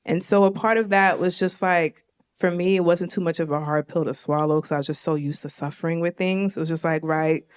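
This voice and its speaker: A woman's voice: fairly low pitch, steady tone, brisk, smooth. A young woman from Miami hosting a live stage conversation, talking candidly and laughing easily. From Miami, Florida. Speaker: host. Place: live event stage before an audience.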